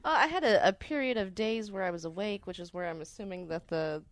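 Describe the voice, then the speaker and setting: A woman telling personal stories as a podcast guest in casual conversation, halting and deliberate, with rather formal wording. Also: medium pitch, no room sound. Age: adult parent.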